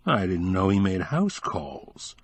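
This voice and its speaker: A man's voice: sits low, huge pitch swings, takes it slowly, breathy edge, dry recording, pitched below the performer's own voice, full character voice. A man as a dry, wry middle-aged gent.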